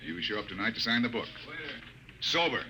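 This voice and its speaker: A curt spaceship captain. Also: male voice, fairly low pitch, animated, a little fast, noisy background. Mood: brusque. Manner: curt, commanding. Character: gruff male spaceship captain. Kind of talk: 1950s radio drama acting. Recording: old radio broadcast with music.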